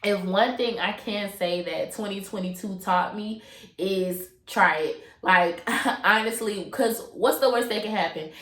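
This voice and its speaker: Young woman host, stuffy nose, slangy casual solo chat, roomy echo. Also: mid-range voice. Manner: chatty, slangy, lots of fillers and asides. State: congested from a cold. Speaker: podcast host.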